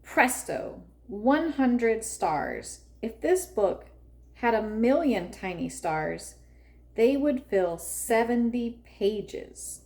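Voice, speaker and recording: A woman reading a children's picture book aloud expressively, with deliberate phrasing and drawn-out emphasis. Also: fairly high pitch, animated, a little mellow. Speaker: read-aloud narrator for children.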